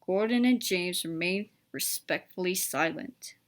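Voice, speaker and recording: A woman's voice: lowish voice, animated, measured pace. A woman reading a children's story aloud as a scripted narration.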